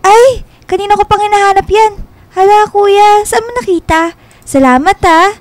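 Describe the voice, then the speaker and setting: A woman playing a friendly, easily delighted young Filipino woman in a radio love drama, expressive and chatty. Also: very high voice, moderate pace, slight background noise. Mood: bright surprise.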